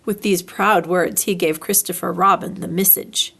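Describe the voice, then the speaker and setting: A woman reading a children's story aloud in an expressive, deliberate storytelling style. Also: on the low side, creaky, husky.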